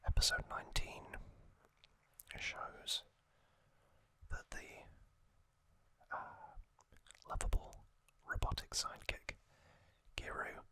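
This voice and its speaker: Slow, whispering man, ASMR style. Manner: hushed, unhurried, hesitant pauses between short phrases. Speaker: ASMR host. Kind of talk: whispered ASMR trivia recap.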